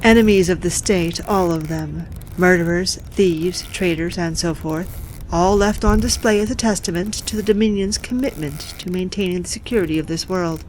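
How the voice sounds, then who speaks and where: A woman's voice: lowish voice, darkish tone, slight background noise, close to an everyday voice, low-quality recording. Woman as poised, commanding occupation official. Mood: smooth, self-assured. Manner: formal, measured, commanding. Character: poised, authoritative female occupation official, coolly persuasive. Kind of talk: science fiction audio drama acting.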